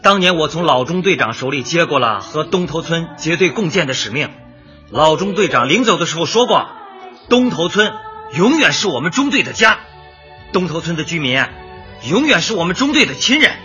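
An actor in a radio drama playing an earnest, grateful squadron leader with a heartfelt, declaiming manner. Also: male voice, on the high side, sing-song, a little fast, bright, forceful tone. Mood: solemn pride. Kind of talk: Chinese radio drama acting. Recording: muffled, faint music behind.

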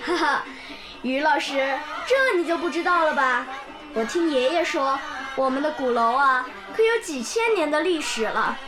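An actress voicing a bright, curious young village girl who tells stories eagerly, in a radio drama. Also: high voice, normal speed, rough recording. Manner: lively, eager storytelling. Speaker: pupil. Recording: background music under the scene.

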